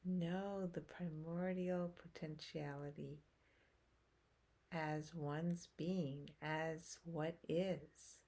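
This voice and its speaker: A woman guiding a meditation as a teacher, speaking in short phrases with long pauses and hushed endings. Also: sits low, fairly even intonation. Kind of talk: guided meditation, slow spoken instruction.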